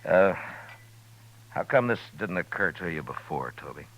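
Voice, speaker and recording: Deep-voiced, tough frontier marshal. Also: male voice, lively intonation, moderate pace, lo-fi sound. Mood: measured, wary. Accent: American. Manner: terse, dry, probing. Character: tough, skeptical, world-weary frontier US marshal. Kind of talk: 1950s radio drama acting. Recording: noisy vintage 1950s radio recording.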